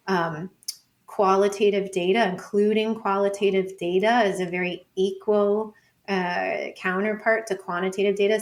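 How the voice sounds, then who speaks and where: A woman guest on an interview show, a professor explaining her field thoughtfully in loose, conversational phrasing. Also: medium pitch. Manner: thoughtful, hedging with "like" and "sort of". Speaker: guest, professor of urban studies and planning.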